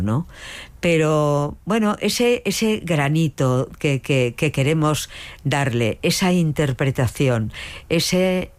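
An older woman, a veteran dubbing actress with a strong voice, answering interview questions with frequent hesitations and restarts. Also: deep voice. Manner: hesitant, many fillers and restarts.

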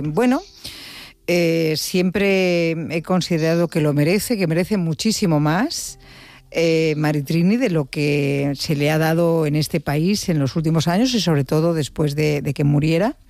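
A woman's voice: low voice, darkish tone, dry recording. A woman with a Spain Spanish accent hosting a conversational radio interview.

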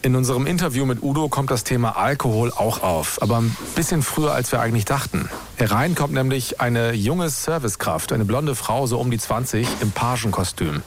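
A man's voice: mid-range voice, expressive, a little fast, a little hiss or hum. A man with pronunciation hosting a podcast, reading scripted narration.

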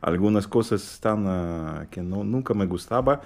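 Deep-voiced man. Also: expressive, unhurried, creaky, a little mellow. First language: Russian. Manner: hesitant, searching for words, many fillers. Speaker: guest pianist. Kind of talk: halting interview answers in a second language.

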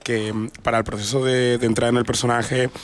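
A man's voice: medium pitch, level tone, fairly quick. A man with an Andalusian accent, an actor chatting in a radio interview, joking and laughing with many restarts. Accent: Andalusian Spanish. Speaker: actor guest. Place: radio studio.

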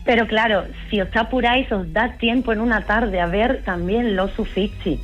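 A woman's voice: medium pitch, normal speed, muffled recording. Andalusian woman guest, enthusiastic, music behind. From Huelva, Spain. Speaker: tour guide, interview guest. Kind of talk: conversational interview answers.

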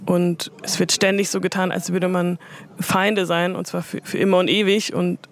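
A woman's voice: on the low side, little pitch movement, moderate pace. A woman answering interview questions thoughtfully, often qualifying her points with fillers. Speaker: interview guest.

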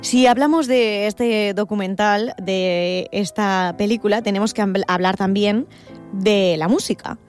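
A woman's voice: medium pitch, fairly even intonation, unhurried, darkish tone. A woman with a Spanish accent hosting an interview in a conversational style. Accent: Spain. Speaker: interviewer, radio or podcast host. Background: music.

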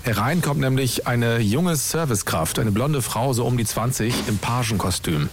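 A man's voice: medium pitch, normal speed, background noise. A man hosting a documentary podcast, telling the story in scripted narration and laying out questions one by one.

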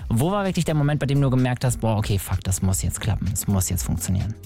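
A man's voice: medium pitch, very animated, quick pace, gravelly. Male interview host, mid-range voice, slangy and chatty, rambling delivery, light music underneath. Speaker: radio or show host interviewing a guest. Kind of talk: casual youth-show interview, slangy.

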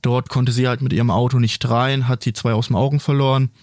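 A man's voice: mid-range voice, little pitch movement, brisk, a little mellow, dry recording, studio quality. A man who serves as a police officer, recounting a case in plain storytelling. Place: studio.

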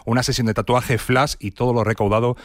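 A man's voice: mid-range voice, little pitch movement, a little fast, no room sound, clean studio sound. A man hosting a radio interview, recapping details and using many fillers. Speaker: radio host.

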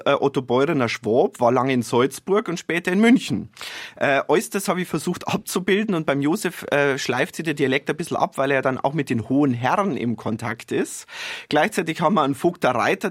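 A man's voice: a little high, no room sound, clean studio sound. A man from Bavaria with a Bavarian accent, an author talking as a guest in a radio interview, enthusiastic and explaining with frequent hesitations. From Bavaria, Germany.

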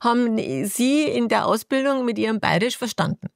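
A woman's voice: on the high side, moderate pace, creaky, no echo, clean studio sound. A woman hosting a radio call-in, attentive and asking short questions. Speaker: call-in show host.

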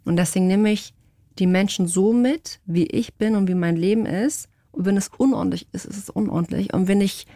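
A woman's voice: mid-range voice, a little fast, no echo. A woman from Portugal chatting casually as an interview guest, acting out quotes as she tells stories into a close studio microphone. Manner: animated storytelling with acted-out quotes. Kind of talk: casual anecdotal chat. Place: radio studio.

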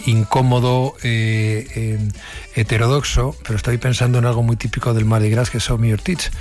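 A man hosting a casual conversational interview, often stammering and pausing as he searches for words. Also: medium pitch, level tone, darkish tone, soft background music. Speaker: interview host.

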